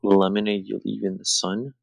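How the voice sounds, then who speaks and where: Gravelly young man joking on a call. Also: lowish voice, completely flat delivery, moderate pace, dry recording. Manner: rambling, laughing, swearing. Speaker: podcast host. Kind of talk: crude comedy banter. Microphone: remote call over shaky wi-fi.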